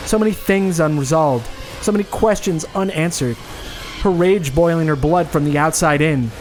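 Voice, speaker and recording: A man's voice: a little high, moderate pace. A man hosting a comedy podcast, speaking as writer and reader of his own story. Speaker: podcast host. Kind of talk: dramatic scripted narration, then casual chat. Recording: loud music behind the voice.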